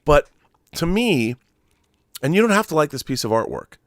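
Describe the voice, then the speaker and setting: A middle-aged man delivers opinionated film commentary in a very lively, swinging pitch. The sound is dry and close, and he leans hard on key words, pausing and repeating phrases to make his point. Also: dry recording. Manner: emphatic, deliberate, with stressed pauses and repeated phrases. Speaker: host of a film commentary show. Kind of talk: opinionated monologue to viewers about film collectibles.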